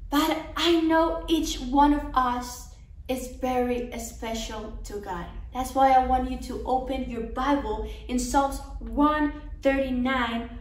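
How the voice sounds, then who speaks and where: A woman's voice: high-pitched, fairly even intonation, slow pace. A young woman, giving an earnest, encouraging devotional talk to a women's conference. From Colombia. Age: young adult. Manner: earnest and encouraging, some restarts. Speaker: conference speaker. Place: living room, seated on a sofa. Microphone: camera across the room. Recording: roomy, from a distance.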